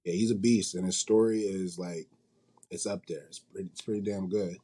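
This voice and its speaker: A man's voice: sits low, fairly even intonation, normal speed, warm tone, breathy edge. A man speaking as an interview guest in an informal, slangy, conversational way. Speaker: manga company CEO, interview guest. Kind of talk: casual interview chat.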